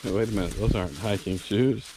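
A man's voice: lowish voice, fast talker, a crowd in the background. A man casually narrating a vlog outdoors on a rural farm, in an easygoing, joking way. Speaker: vlogger. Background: faint rural outdoor ambience.

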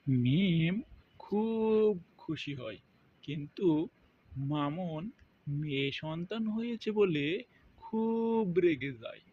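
A man with a fairly high voice narrates a scripted cartoon fairy tale. His delivery is extremely slow. The audio is slightly dull and lo-fi. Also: expressive, a little mellow, a little hiss or hum. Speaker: storyteller narrator.

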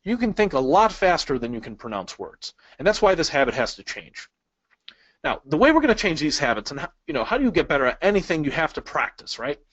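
A man's voice: a little high, big pitch swings, fast talker, fairly bright tone, no room sound. A Chicago-born man teaching a webinar. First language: Polish. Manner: friendly, asks the audience questions, light jokes. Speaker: speed-reading instructor. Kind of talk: webinar lesson with slides. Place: small indoor room. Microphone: webcam inset. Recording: dry, slightly dull sound.

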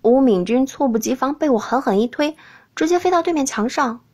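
High-pitched woman narrating an audiobook. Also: lively intonation, a little fast, a little mellow. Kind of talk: expressive scripted narration.

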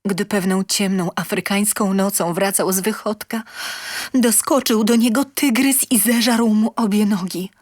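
A woman playing a self-satisfied, sensual lonely inventor, telling her comic tale in a theatrical, expressive manner. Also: mid-range voice, a little slow, no echo, studio quality, natural voice. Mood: melodramatic. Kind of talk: dramatic comic story narration.

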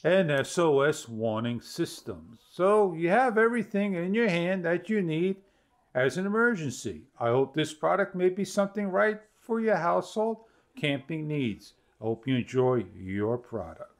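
Male product reviewer, fifties, slightly high voice, explaining features step by step in halting phrases. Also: lively intonation. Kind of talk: product demonstration to camera. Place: small home studio room, indoors.

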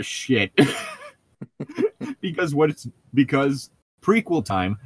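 A man's voice: mid-range voice, breathy edge, no room sound. A man co-hosting a podcast in casual chat, opinionated and often laughing as he talks. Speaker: podcast co-host.

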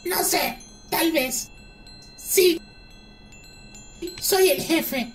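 A woman's voice: extremely high pitch, extremely slow, heavily acted. A woman voicing a vain, bickering moon spirit in a children's cartoon, with a haughty manner. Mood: smug. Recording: distant voice, faint music.

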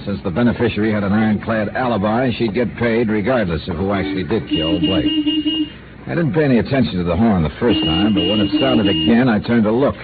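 An actor playing a dry, skeptical insurance investigator who narrates a 1950s radio drama. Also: male voice, lowish voice, very animated, fairly quick, noisy background. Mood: narrating. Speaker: narrator and lead. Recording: tinny old radio transcription.

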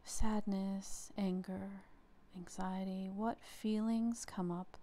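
A woman leading a guided meditation as a therapist, speaking gently in short phrases with long pauses. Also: medium pitch, steady tone.

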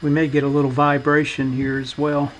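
A man giving a hands-on workbench demonstration as host, speaking in a halting, unhurried, matter-of-fact way. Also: a little high, monotone, slight background noise, rough recording. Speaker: host demonstrating a vintage radio repair. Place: indoor workbench.

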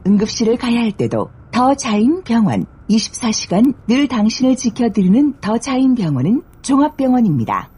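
A woman reads scripted advertising copy in a high, mellow voice. Her intonation swings in a lively way, with faint noise underneath. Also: normal speed, few pauses. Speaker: advertisement announcer. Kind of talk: scripted radio-style advertisement.

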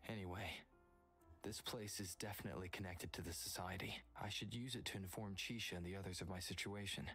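An actor playing a calm young game hero thinking aloud in a measured, hushed inner monologue. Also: male voice, low voice, soft background music. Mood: pensive, measured.

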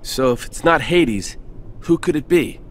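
A man's voice: a little high, animated, a little slow. An actor playing a good-natured, earnest young strongman hero in a video game, straightforward and boyish. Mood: puzzled, resolute. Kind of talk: animated video game voice acting.

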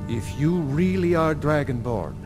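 An actor playing a stately middle-aged lord in a fantasy video game, speaking in a measured, solemn, authoritative way. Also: male voice, on the high side, expressive. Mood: solemn, explaining. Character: stately middle-aged male Nordic lord, grave and curious. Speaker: game character, ruler of a hold. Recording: game dialogue over loud music.

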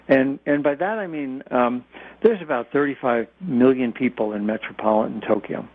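Older man, halting, on a phone-like line. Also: medium pitch, dry recording. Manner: halting, explanatory, with repeated words. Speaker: guest nuclear engineering expert. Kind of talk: conversational radio interview. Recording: narrow, phone-like radio line.

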